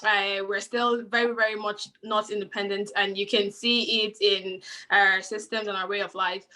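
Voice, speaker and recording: A woman's voice: mid-range voice, level tone, moderate pace, pushed and bright, rough recording. A woman from Nigeria speaking as a panel guest, emphatic and repeating words for stress. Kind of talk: discussion.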